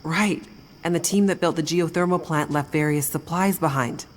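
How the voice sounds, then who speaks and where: Ambient noise surrounds an actress voicing a younger woman assistant. She has a lowish voice and an anxious, questioning air in a game drama. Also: moderate pace, natural voice. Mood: uncertain. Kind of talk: video game cutscene acting.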